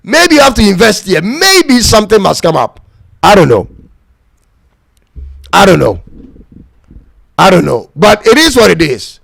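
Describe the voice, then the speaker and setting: A man's voice: high-pitched, very animated, measured pace, vocal fry, pauses often, husky, dry recording. A man hosting a casual live-stream talk show, musing and chatting to his audience. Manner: chatty, reflective, addresses "ladies and gentlemen". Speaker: host.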